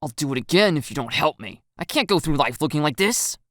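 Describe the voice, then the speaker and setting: A woman's voice: deep voice, lively intonation, fairly quick, a bit bright, no echo, clean studio sound, voice pushed up. A woman voicing an embarrassed, grumpy teenage boy in a dramatized audio story, with a blunt, pouty, exasperated manner. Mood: blunt annoyance.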